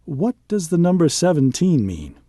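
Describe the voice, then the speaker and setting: A man's voice: fairly high pitch, wildly swooping pitch, normal speed, mellow, no echo, clean studio sound. A man voicing earnest grade-school pupils in a storybook, speaking up with proud, declarative announcements. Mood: eager, curious.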